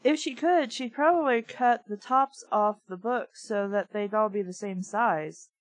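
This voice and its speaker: A woman's voice: medium pitch, a little slow, dry recording. A young adult woman reading aloud and commenting casually, in a blunt, opinionated way. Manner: blunt, opinionated, swears freely. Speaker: host reading a children's book aloud with commentary.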